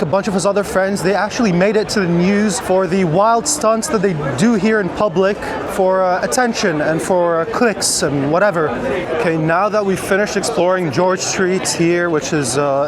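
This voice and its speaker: A man from Egypt narrating a casual walking travel vlog outdoors at night, with many fillers. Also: high voice. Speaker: vlogger. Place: outdoors on a city street at night. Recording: street noise behind.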